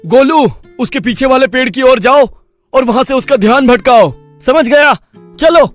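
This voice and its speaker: A man voicing a brave, determined villager in an animated story, speaking in an earnest, rallying, declamatory way. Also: very high-pitched, normal speed, no echo, soft background music. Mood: urgent. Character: brave, determined male village friends defying a demon.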